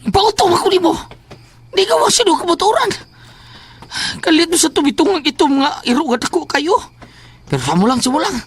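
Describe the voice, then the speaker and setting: A man playing a frantic, whiny, comic coward in a horror audio drama, excitable and full of exclamations. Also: extremely high pitch, fairly quick, long pauses, heavily acted. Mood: grumbling. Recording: noisy amateur audio drama recording.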